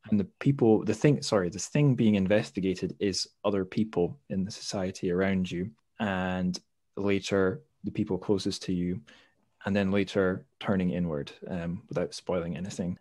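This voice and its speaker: Low-voiced man hosting, rambling questions. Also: fairly even intonation, normal speed, a little mellow, no room sound. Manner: thoughtful, rambling, self-correcting. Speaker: podcast host. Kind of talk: reading questions in an interview.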